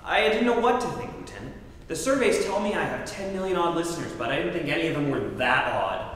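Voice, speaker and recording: A brash hard-boiled radio detective. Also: male voice, fairly high pitch, very animated, fast. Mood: wry. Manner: brash, exasperated. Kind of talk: 1940s radio comedy acting, live stage. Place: theatre stage. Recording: echoey theatre, faint hiss.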